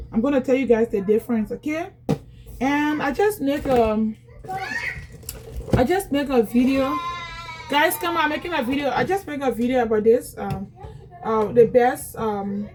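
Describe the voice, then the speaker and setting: A woman's voice: fairly high pitch, a little mellow, slight background noise, rough recording. A woman from Liberia with a Liberian accent, chatting casually to viewers in a product unboxing vlog at home, repeating herself as she goes. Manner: chatty, repetitive, talks straight to viewers. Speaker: vlogger.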